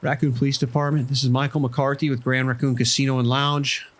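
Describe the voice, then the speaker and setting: Male actor as concerned casino boss in horror audio drama, urgent, protective, medium-pitched. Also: little pitch movement, brisk, fairly bright tone, natural voice. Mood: urgent, alarmed.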